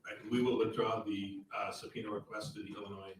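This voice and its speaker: A far-off, very echoey and noisy recording of a man. He is an attorney with a slightly low voice, speaking haltingly in procedural legal terms. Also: flat delivery. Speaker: attorney at a hearing. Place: public hearing room. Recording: very echoey room, distant mic, noisy.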